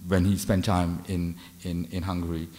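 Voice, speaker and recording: An older man delivers an art history talk from a lectern mic, his voice very low. He hesitates constantly, restarting phrases and filling gaps with "uh" and "you know". The sound carries a long reverb from a large lecture hall. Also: level tone, moderate pace, dark tone. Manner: hesitant, many fillers and restarts. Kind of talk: unscripted art lecture with slides. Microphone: lectern microphone.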